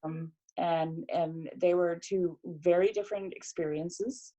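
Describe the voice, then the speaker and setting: A middle-aged woman talks things through in a relaxed, casual way, pausing often and restarting her sentences. Her voice is slightly low and mellow. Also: muffled recording. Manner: reflective, hesitant, many fillers and restarts. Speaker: podcast guest. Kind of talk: casual conversation.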